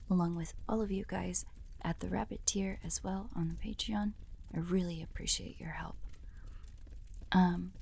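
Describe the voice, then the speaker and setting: A woman's voice: fairly low pitch, creaky, a little mellow, husky. A woman who creates audio roleplays, chatting casually to thank her listeners.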